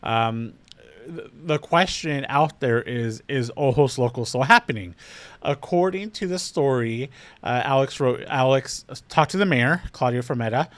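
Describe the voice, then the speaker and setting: A man co-hosting a casual local talk show, asking questions at a steady pace. His voice sits in a plain middle range, and he leans on ums and uhs as he goes. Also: medium pitch, measured pace, no room sound. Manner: steady, prompting questions with fillers. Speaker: co-host. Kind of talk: casual interview chat.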